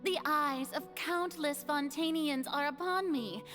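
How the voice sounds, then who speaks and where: An actress playing a regal, theatrical young goddess in a video game, with formal, elegant diction. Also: female voice, sits high, measured pace. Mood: haughty, flustered. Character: theatrical goddess, regal, solemn yet grandiose young woman. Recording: game dialogue under loud music.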